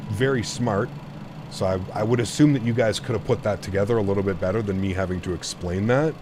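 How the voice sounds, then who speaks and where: A man with a deep voice streaming a flight sim, talking straight to his chat and explaining himself at length with repeated restarts. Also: darkish tone, a little hiss or hum. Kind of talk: casual live-stream chat.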